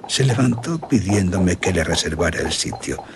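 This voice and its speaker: Amid noticeable noise, a courtly Spanish general in a radio drama. His low voice moves widely in pitch as he recounts a horror with deliberate, remorseful gravity. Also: expressive. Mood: earnest recollection. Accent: Spanish from Spain. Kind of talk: radio drama acting, storytelling.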